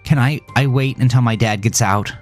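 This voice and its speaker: A man's voice: mid-range voice, moderate pace, soft background music, pitched above the performer's own voice, natural voice. A man playing an anguished grown son in an audio drama, pleading tearfully with a stammering, desperate manner. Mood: anxious pleading.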